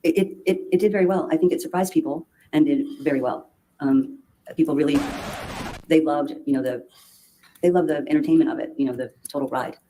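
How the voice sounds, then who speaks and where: A woman testifying as a witness in court, speaking haltingly with many restarts and fillers. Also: low-pitched, dark tone, slight background noise, low-quality recording. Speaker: trial witness.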